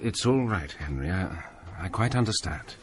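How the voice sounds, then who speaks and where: An actor playing a fussy, self-assured young scholar in a radio drama, speaking in a precise, reproving way. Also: male voice, deep voice, expressive, moderate pace, noisy background. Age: young adult.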